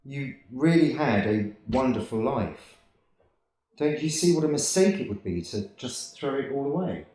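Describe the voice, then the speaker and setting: A man playing a gentle, bumbling elderly guardian angel in a radio play, earnest, hesitant and apologetic. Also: medium pitch, expressive, measured pace, slight background noise, lightly acted. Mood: gentle, solemn. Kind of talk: 1940s-style radio play acting. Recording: echoey room, distant microphone.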